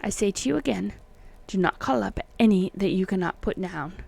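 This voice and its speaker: A woman voicing an uneasy, secretive old colonial occultist man, reading his letters in solemn, halting archaic phrasing. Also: medium pitch, expressive, gravelly, darkish tone, slightly rough, exaggerated voice. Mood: grave warning.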